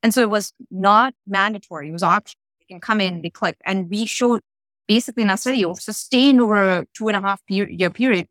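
A woman physician talking in an interview, quick and earnest, with many fillers. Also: medium pitch, fairly bright tone, no room sound, rough recording. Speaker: physician founder guest. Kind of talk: interview talk.

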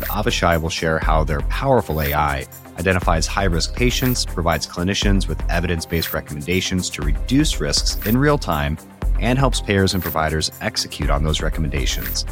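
A man hosting an interview podcast, friendly and fumbling a little with restarts as he asks questions. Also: sits low. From Colorado. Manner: friendly, searching for words with restarts. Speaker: podcast host.

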